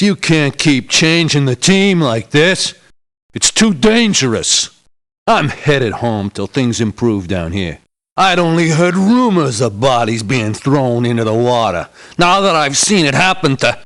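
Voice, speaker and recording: Sardonic ex-cop mercenary, lively pitch. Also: male voice, a little slow. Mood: grim, fed up. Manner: sardonic, tough-talking. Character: gruff, sardonic ex-policeman mercenary man, streetwise and stubborn. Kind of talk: video game character voice acting.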